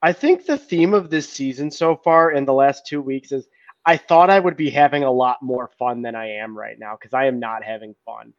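A man recaps games in a rambling way, full of fillers, his sentences often fading off quietly. The recording sounds dry and a bit dull. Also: medium pitch, moderate pace, no room sound. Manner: rambling with many "uh"s, trailing off quietly. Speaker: podcast co-host. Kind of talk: casual sports recap.

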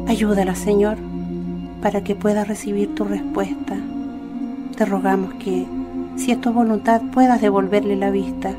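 A woman's voice: medium pitch, level tone, normal speed, loud music behind the voice, natural voice. An actress playing a tender, earnest middle-aged mother and devout daughter in a radio drama. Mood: pleading prayer. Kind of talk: Spanish-language radio drama acting.

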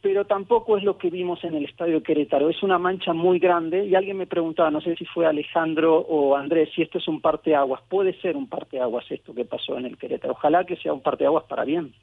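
A sociologist born in Mexico and raised in Argentina, talking as a guest in a radio phone interview, thoughtful and hesitant with many fillers. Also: male voice, on the high side, a little fast, gravelly, hardly any pauses, no room sound. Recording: phone line.

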